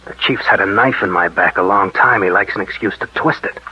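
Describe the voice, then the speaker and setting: A man's voice: low voice, fairly quick, sharp tone, breathy edge, heavily acted. A man playing a hard-boiled, weary narcotics detective in a 1940s radio drama. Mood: sour. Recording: dull, muffled 1940s radio recording.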